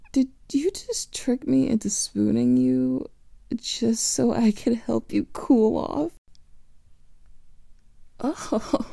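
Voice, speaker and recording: A woman playing a playful, affectionate vampire lover in an ASMR roleplay, speaking softly and often in whispers into a close microphone. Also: a little high, gravelly, breathy edge, no echo. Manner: soft teasing, often whispered.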